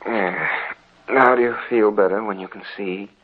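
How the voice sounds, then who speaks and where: A man's voice: fairly low pitch, fairly even intonation, moderate pace, slightly rough. A man playing a skeptical, teasing husband who dismisses ghosts, in a patronizing, casual way, in an old radio horror drama. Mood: teasing, dismissive. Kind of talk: 1940s radio horror acting. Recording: tinny old radio recording, faint noise.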